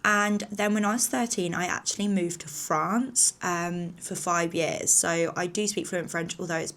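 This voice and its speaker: A woman's voice: on the low side, steady tone, unhurried, a bit bright. A woman in her thirties from England, chatting casually to camera in a rambling, slightly nervous way with lots of fillers. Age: 30s. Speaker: vlogger.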